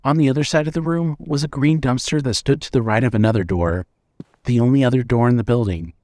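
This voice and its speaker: A man narrating a scripted story in a measured, suspenseful way. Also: mid-range voice, darkish tone, no echo, studio quality.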